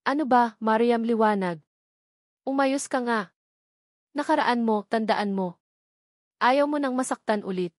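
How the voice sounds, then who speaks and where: A woman's voice: a little high, a little slow, long pauses, dry recording, clean studio sound, lightly acted. A woman voicing a shy, hesitant, self-doubting young office worker in an audio romance story. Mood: self-scolding.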